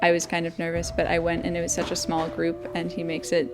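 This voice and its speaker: A woman's voice: fairly low pitch, monotone, quick pace, over music. A young woman student describing step by step what she is doing. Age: young adult.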